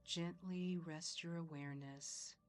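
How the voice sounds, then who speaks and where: A woman leading a guided meditation in a soothing, measured way, leaving long pauses between phrases. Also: fairly low pitch, fairly even intonation. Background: soft background music.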